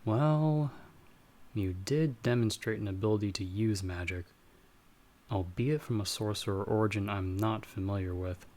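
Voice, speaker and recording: A man playing a calm, guarded magic-wielding investigator in an ASMR audio roleplay, speaking in a measured, patient, instructive way with pauses. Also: fairly low pitch, natural voice.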